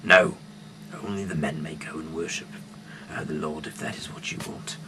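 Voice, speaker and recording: A man with a gravelly voice that fades into a murmur reads scripture aloud haltingly, stumbling over words, with sudden emphasis and off-the-cuff asides. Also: rumbling low, steady tone, very noisy. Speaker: amateur Bible reader.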